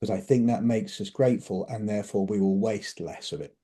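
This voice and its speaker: Warm-toned enthusiastic British man. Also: on the low side, brisk. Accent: British English. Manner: enthusiastic storyteller, lively. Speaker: podcast co-host. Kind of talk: casual podcast chat.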